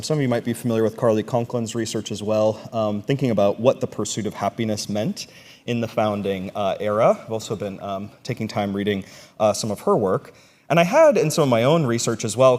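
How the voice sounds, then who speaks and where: A man's voice: fairly low pitch, a little mellow. A man with a stuffy, congested voice from a cold, giving an academic conference talk with frequent ums and uhs. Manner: halting, many ums and uhs. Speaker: conference presenter, ethics instructor. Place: conference room. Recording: long reverb of a large hall.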